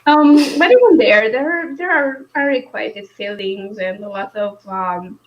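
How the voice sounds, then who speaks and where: A woman chats casually about books in a fairly high voice. Her manner is wry and informal, and the audio is slightly dull and lo-fi. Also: a little slow, crowd noise. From Romania. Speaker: book discussion host. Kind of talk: casual book chat.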